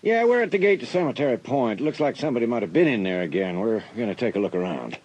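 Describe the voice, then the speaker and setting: A man playing a steady police sergeant on night patrol in a dramatised audiobook. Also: mid-range voice, quick pace, close to an everyday voice. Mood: businesslike. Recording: dull sound with faint music.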